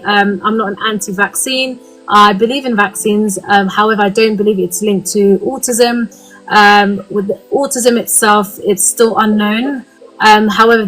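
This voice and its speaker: A woman from the UK in her thirties gives a candid, earnest account in a live online session. She pauses often on "um" as she finds her words. Faint music sits under her voice. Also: mid-range voice, fairly bright tone, rough recording. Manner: earnest, frequent "um" fillers, candid. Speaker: guest speaker.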